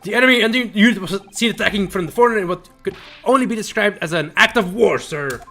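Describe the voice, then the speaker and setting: A man's voice: high-pitched, fast, sharp tone, soft background music, voice pushed up, full character voice. A man voicing a zealous army sergeant from a video game, reporting in clipped, emphatic military bursts. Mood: barking, zealous.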